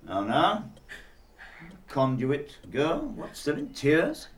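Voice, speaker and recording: Actor as high-voiced, tyrannical Shakespearean father. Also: huge pitch swings, drawn-out words, slight background noise, full character voice. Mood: mock tender, brooding. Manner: declamatory, swinging from hushed menace to furious shouting. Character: tyrannical, hot-tempered father and lord of the house. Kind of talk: Shakespearean stage drama acting.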